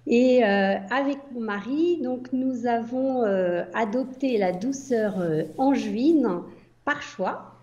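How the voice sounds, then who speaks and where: Mellow older French woman, dull sound. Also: on the high side, slow pace. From Paris region, France. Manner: hesitant, many fillers. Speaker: guest, entrepreneur. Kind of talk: casual interview answers.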